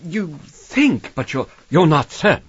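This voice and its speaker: An actor playing a nervous, deferential employee in a radio drama, polite and hesitant. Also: male voice, on the high side, big pitch swings, measured pace, breathy edge, dull audio, heavily acted. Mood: uneasy. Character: deferential, nervous male employee eager to please.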